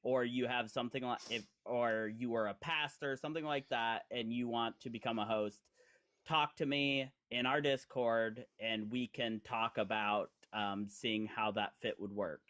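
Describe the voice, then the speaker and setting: A man in his forties, from Indiana, talking casually as a show host. His voice sits at an ordinary middle pitch. He rambles and teases, with frequent "um"s and "like"s. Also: fairly even intonation, a little slow, fairly bright tone. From Indiana, USA. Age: 40s. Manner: rambling, chatty, with lots of fillers and teasing. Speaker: podcast host. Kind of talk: casual live-stream chat.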